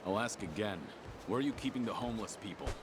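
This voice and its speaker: An actor playing a calm, menacing middle-aged ex-yakuza vigilante in a video game, terse and intimidating. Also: male voice, lowish voice, fast talker, noisy background, natural voice. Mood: stern, threatening. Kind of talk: dramatic video game voice acting. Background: music.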